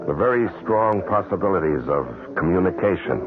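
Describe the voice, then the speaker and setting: A man playing a smooth, scheming rich widower with a suave, theatrical, insincerely solemn manner in an old-time radio mystery. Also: sits low, lively intonation, a little fast. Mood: sly, seductive. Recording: muffled narrowband radio drama, music behind.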